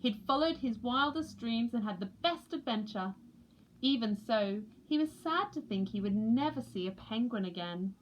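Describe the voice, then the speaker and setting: A woman reading a children's picture book aloud with expressive narration. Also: a little high, moderate pace, slight background noise, rough recording. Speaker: storybook reader for a school.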